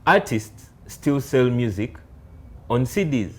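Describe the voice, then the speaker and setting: A man hosting an interview, asking questions conversationally in a somewhat hesitant, halting way. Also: mid-range voice, very animated, a little mellow. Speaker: interviewer, host.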